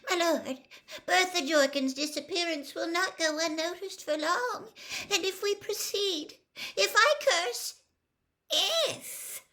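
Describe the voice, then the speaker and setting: A woman's voice: extremely high pitch, slow pace, sharp tone, voice pushed up, full character voice. A woman voicing a fearful, sulky, servile male henchman in a storybook, panicky and stammering. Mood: panicked. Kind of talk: storybook character read aloud.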